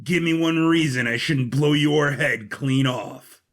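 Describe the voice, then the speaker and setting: A man's voice: a little high, a little slow, a bit bright. Cocky robot schemer for an audio drama, voiced by a male narrator, glib and sardonic with his twin. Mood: menacing, sardonic.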